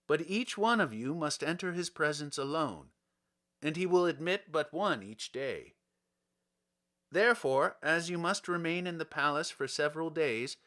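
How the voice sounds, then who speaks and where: A man's voice: fairly high pitch, sharp tone. A man voicing a courteous, dutiful palace soldier in a storybook reading, with formal, polite phrasing. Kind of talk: storybook character voice.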